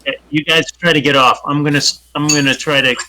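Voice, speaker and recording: Guy at a tabletop game, really bright sharp tone, keeps restarting his questions. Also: a little high, steady tone, low-quality recording. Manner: questioning and quick to restart phrases. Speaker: player. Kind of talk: casual tabletop play.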